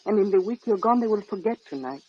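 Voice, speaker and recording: An actress playing a gentle, persuasive young woman with slightly formal English in an old-time radio drama. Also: medium pitch, lively intonation, fast talker, breathy edge. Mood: persuading. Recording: dull lo-fi vintage radio recording.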